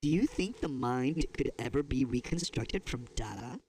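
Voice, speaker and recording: A man's voice: medium pitch, animated, normal speed, darkish tone, slightly rough. A man acting as a skeptical scientist in an audio drama, questioning and challenging in a conversational way. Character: skeptical, probing scientist colleague.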